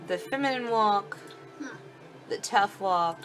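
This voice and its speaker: A woman's voice: medium pitch, slow, fairly bright tone, soft background music, low-quality recording. A woman giving casual commentary on a game demo, thinking aloud informally as she goes. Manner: informal, thinking aloud while clicking through. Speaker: gaming video host.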